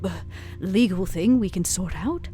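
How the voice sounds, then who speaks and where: A woman voicing a weary, sardonic female vampire club owner in a tabletop roleplay drama, wry and given to dramatic pauses. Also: medium pitch, mellow, a little hiss or hum, lightly acted. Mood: bitter, searching.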